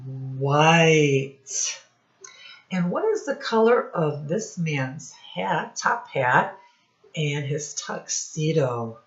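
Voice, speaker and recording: A woman teacher speaking to young children in a singsong instructional style, with simple phrases and deliberate repetition. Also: deep voice, lively intonation, reverberant. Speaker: children's teacher and read-aloud host. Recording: dull, lo-fi sound.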